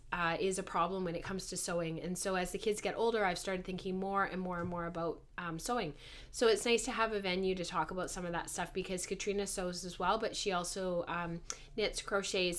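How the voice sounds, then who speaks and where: A woman in her thirties hosts a casual podcast, talking chattily to her viewers. Her mid-pitched voice is hoarse and congested from a heavy cold, and she sounds like she is struggling a little to keep it going. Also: a bit bright. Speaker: podcast host.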